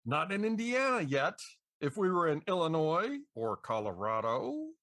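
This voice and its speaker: An American man with a slightly high, very animated voice hosts in a playful DJ style. The sound is dry, with little room around it. He moves between scripted banter and introductions. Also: unhurried, no room sound. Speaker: podcast co-host.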